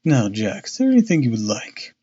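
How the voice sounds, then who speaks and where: A man voicing a calm, polite Victorian gentleman detective from a storybook, with a bland, coaxing manner. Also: medium pitch, brisk, husky, lo-fi sound.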